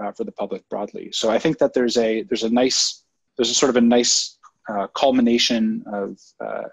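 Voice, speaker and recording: Brief: husky-voiced man, hesitant, thoughtful interview guest over dull, dry audio. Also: medium pitch, dry recording. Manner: thoughtful, many ums and restarts. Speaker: guest, former government technology leader. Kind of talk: conversational interview answers. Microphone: online call audio.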